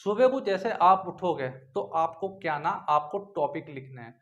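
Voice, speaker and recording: A man's voice: on the high side, animated, normal speed. A young man giving informal study instructions to viewers, repeating himself and often adding little check-in tags. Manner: repetitive, frequently checks in with tag phrases. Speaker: exam-preparation tutor. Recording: dull lo-fi sound.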